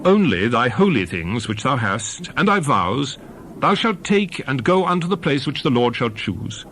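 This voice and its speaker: Animated, solemn male scripture narrator. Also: mid-range voice, measured pace, a little hiss or hum, rough recording. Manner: solemn, declamatory, emphatic. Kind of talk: dramatized scripted Bible reading.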